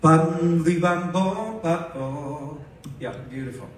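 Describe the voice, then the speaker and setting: A man's voice: fairly high pitch, slow. A man doing a studio soundcheck, talking to the engineer and breaking into singing and scat. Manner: singing and scatting between requests. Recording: distant, echoey, loud music.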